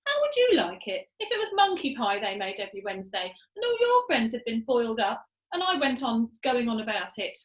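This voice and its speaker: A woman's voice: on the high side, big pitch swings, brisk, hardly any pauses, reverberant. A woman voicing a plucky, indignant roly-poly bird in a children's story, exclaiming and plaintive.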